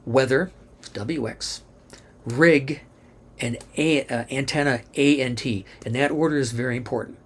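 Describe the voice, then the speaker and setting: A man giving a methodical instructional explanation, spelling out abbreviations letter by letter in a slightly rough voice. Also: mid-range voice, unhurried.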